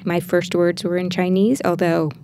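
An American woman with a lowish voice and clear vocal fry speaks as an interview guest. She tells personal stories in a reflective, conversational way. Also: little pitch movement, moderate pace, dark tone, slight background noise. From Kansas, United States. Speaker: interview guest, art teacher.

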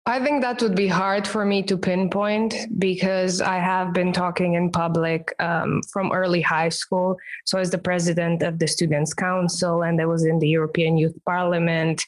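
A woman whose first language is Romanian, speaking English as an interview guest and professional coach in a measured, explanatory way. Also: on the low side, flat delivery. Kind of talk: podcast interview answers.

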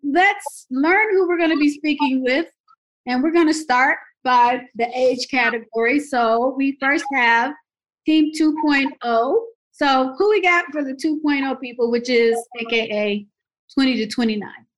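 A woman's voice: high-pitched, a little slow, rough recording. A woman hosting a casual podcast, introducing guests with playful energy. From Dayton, Ohio area. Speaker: podcast host.

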